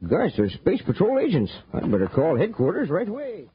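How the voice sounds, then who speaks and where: High, animated, good-natured country settler. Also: male voice, brisk, slightly rough, full character voice. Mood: puzzled, excited. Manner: eager, hesitant, neighborly. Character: good-natured, folksy, naive lone settler on Mars. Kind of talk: 1950s radio drama acting. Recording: muffled old radio recording, faint noise.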